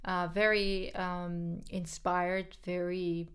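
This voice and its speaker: A woman's voice: lowish voice, little pitch movement, slow, clear, resonant tone. A woman whose first language is Georgian, co-hosting a podcast in casual, frank chat, with many fillers and repeated words. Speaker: podcast co-host, pianist.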